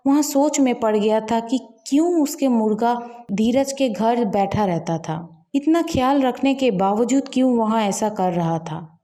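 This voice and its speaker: A woman narrates a light folk tale from a script. Her voice is fairly high, with a mellow, dark-edged softness. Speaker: story narrator.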